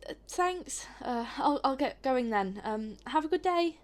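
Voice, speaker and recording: A woman's voice: high voice, animated, a little slow. A woman acting a polite, hesitant and sceptical visitor in a naturalistic audio drama, rambling a little awkwardly. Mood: awkward, confused.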